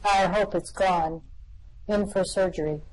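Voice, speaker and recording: A performer playing a calm, bodiless custodian of a machine with a slow, deadpan, matter-of-fact delivery in an amateur radio-play reading. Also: mid-range voice, lightly acted, low-quality recording.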